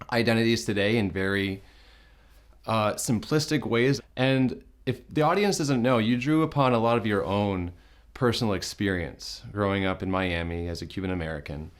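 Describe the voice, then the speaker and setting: A man hosting a studio interview show, asking measured questions in a welcoming manner. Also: fairly low pitch. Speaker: host.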